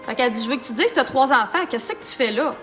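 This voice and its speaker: Une femme d'âge mûr à l'accent québécois, qui bavarde en blaguant pendant qu'elle se maquille en direct. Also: female voice, sits high, animated, fast talker, fairly bright tone, soft background music. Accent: Québécois French. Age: middle-aged. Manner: chatty and joking, talks while applying makeup. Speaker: makeup artist and business owner. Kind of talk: live-stream makeup tutorial chat.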